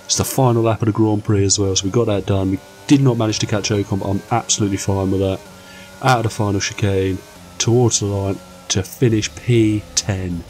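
A young man with a cold, croaky, giving excitable, jokey commentary over his racing game. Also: on the low side, a little fast, soft background music. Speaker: gaming channel host. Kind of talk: casual gameplay commentary.

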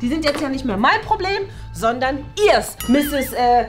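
A woman's voice: high-pitched, lively intonation, measured pace, faint music behind. A woman acting a condescending, exasperated former headmistress in a comedy sketch, lecturing pointedly. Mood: agitated, exasperated. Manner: pointed, lecturing, ends phrases with "ne?". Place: small bright meeting room.

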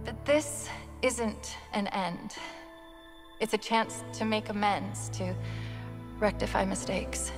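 A woman playing a quick-witted, emotional female AI companion in a video game, expressive and conversational. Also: medium pitch, in a large hall. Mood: wistful. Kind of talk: video game cutscene acting. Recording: music behind the voice.

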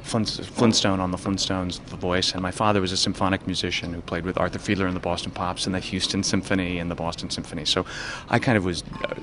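A man's voice: low-pitched, level tone, fast. A middle-aged man, a stage actor, speaking animatedly and with self-deprecating humor in an interview. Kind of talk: casual interview. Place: backstage in a theater. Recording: noisy backstage ambience.

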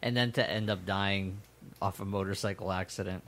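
A man co-hosting a casual podcast, animated and quick to exclaim. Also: sits low. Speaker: podcast co-host.

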